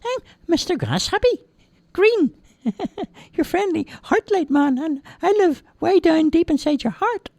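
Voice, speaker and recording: Woman voicing a high, giggling thumb-sized magical man for a storybook. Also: big pitch swings, measured pace, warm tone, no echo, full character voice. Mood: cheerful. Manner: chirpy, giggling. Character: tiny giggly magical man living in a heart.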